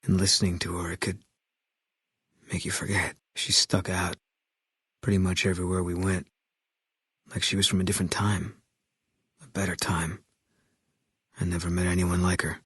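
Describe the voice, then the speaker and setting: A man voicing a grim, embittered military sniper in a video game, terse and guarded, with a husky voice. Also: low-pitched, moderate pace, long pauses, no echo, studio quality. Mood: bitter, subdued. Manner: terse, guarded, flat, clipped delivery. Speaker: video game companion character. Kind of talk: game voice acting, terse dialogue lines.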